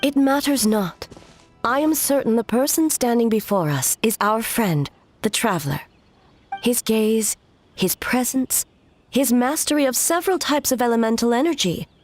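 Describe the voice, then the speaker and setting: An actress plays a regal woman ruler in a game, her voice on the high side. She is solemn and composed, making formal, measured declarations with full assurance over faint music. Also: close to an everyday voice. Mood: solemn, certain. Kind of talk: video game dialogue.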